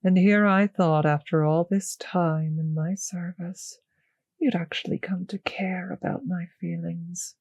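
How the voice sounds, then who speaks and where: Woman playing a breathy, possessive vampire mistress. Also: lowish voice, fairly even intonation, a little mellow, no room sound, muffled recording. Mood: wistful, knowing. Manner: slow, condescending, teasing endearments, drifting into whispers. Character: possessive, refined vampire mistress, teasing and predatory. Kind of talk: intimate roleplay audio drama.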